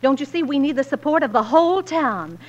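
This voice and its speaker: High-voiced, spirited frontier wife. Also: female voice, animated, a little fast. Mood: determined, persuasive. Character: earnest, spirited, protective young frontier wife. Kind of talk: 1940s radio drama acting. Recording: muffled 1940s radio drama recording.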